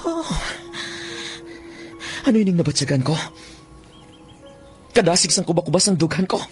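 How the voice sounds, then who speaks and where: A brash young bird trapper with a Western Visayan Filipino accent. His delivery is theatrical and very animated in pitch, in radio drama style over prominent music. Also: moderate pace. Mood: awed. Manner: theatrical, stammering when startled. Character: brash young bird trapper, superstition-scoffing, easily awestruck. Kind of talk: radio drama acting with first-person narration.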